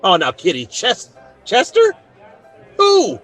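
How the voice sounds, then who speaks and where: An actor playing a plainspoken, fair-minded frontier marshal with authority in a radio western. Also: male voice, high-pitched, wildly swooping pitch, takes it slowly, a bit bright, soft background music, some chatter behind, full character voice. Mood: flustered, amused. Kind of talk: old-time radio western acting.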